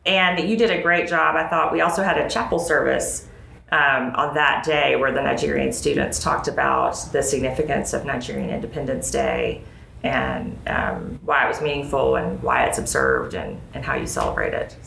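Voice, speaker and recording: A woman's voice: very deep voice, expressive, moderate pace, fairly bright tone. An American woman hosting a conversational interview podcast as a school dean, recorded in an echoey room. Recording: roomy echo, clear background noise.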